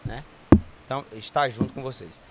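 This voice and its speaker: A man's voice: medium pitch, fairly quick, noisy background. A man from Ceará with a Cearense accent, a brewery founder telling stories as an enthusiastic interview guest. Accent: Cearense Portuguese. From Ceará, Brazil. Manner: enthusiastic storyteller, frequent tag questions. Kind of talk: casual interview chat.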